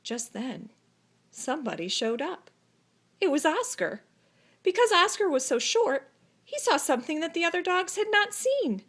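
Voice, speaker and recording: A woman narrating a children's story expressively, with deliberate phrasing and dramatic pauses. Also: very high-pitched, very animated, a bit bright. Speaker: storybook reader.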